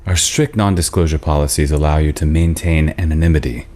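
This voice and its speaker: A man's voice: extremely low pitch, fairly even intonation, a little fast, darkish tone. A man playing a discreet, probing auction agent in a table read of a horror film script. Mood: smooth, probing.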